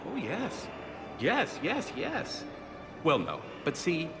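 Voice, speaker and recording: An actor playing an awkward, eager human fan in a video game cutscene, talking in a nervous, rambling way. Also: male voice, high voice, wildly swooping pitch, slow, gravelly, music in the background, heavily acted. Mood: flustered.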